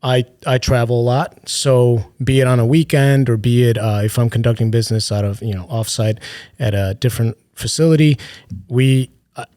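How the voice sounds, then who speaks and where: A man's voice: medium pitch, normal speed, no echo. Male guest, easygoing anecdote-teller, medium voice, dry close recording. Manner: relaxed, anecdotal, chatty. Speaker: carrier solutions director, guest. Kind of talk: casual business chat.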